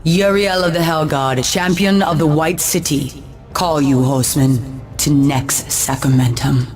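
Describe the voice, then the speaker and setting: A woman's voice: low-pitched, a little slow, a little mellow, soft background music. A woman playing a proud, righteous female angel warrior in a video game, with a formal, commanding, declamatory delivery. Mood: proud, challenging. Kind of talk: dramatic video game cutscene acting.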